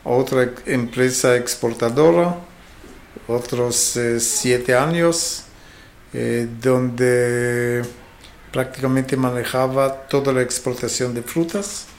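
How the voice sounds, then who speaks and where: A mature man with an Israeli accent speaks as an interview guest. His delivery is slow and halting, feeling for words in a language that is not his first, at an average male pitch. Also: fairly even intonation. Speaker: interview guest, fruit export marketing specialist. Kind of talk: conversational interview answers.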